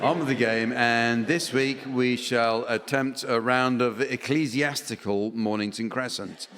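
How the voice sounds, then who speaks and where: A man's voice: mid-range voice, steady tone, measured pace. A man chairing a comedy panel show, mixing mock-solemn formal announcements with ad-libbed banter. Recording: echoey theatre stage, noisy audience.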